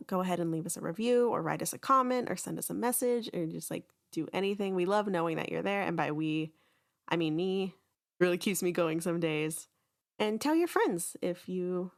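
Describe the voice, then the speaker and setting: A woman hosting a podcast, talking casually and informally in a self-deprecating way. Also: lowish voice. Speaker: podcast host and creator. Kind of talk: casual chatty podcast announcement.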